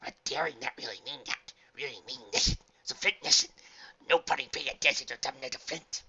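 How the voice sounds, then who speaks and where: A storybook dog creature, simple-minded and easily cowed. The voice sits well above a normal man's talk and is sharply bright. It speaks eagerly in broken, third-person phrases on a slightly dull recording. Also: on the high side, normal speed, vocal fry, pitched above the performer's own voice, exaggerated voice. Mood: nervous, confiding. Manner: broken third-person speech, cowering and excitable.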